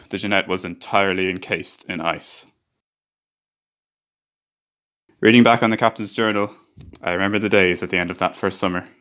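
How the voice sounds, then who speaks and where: An actor narrating a radio play as a reflective ship's engineer, telling his memories in a measured, diary-like way. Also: on the low side, a bit bright, clean tone, close to an everyday voice. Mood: grave, reflective. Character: reflective male ship's engineer recalling a doomed Arctic voyage.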